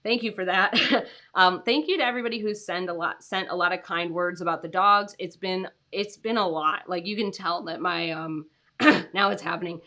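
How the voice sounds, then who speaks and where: A young adult woman hosting a casual livestream, her voice raspy from tiredness, chatty with many "like"s and "um"s. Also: lowish voice, moderate pace, sharp tone. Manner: chatty, lots of "like" and "um", laughs often. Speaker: host.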